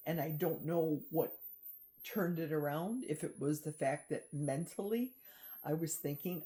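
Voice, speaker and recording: A middle-aged woman talks slowly to her viewers in a chatty, confiding vlog. Her voice sits low and mellow, with an unhurried pace. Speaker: vlogger. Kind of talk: personal diet vlog. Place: outdoors in a forest.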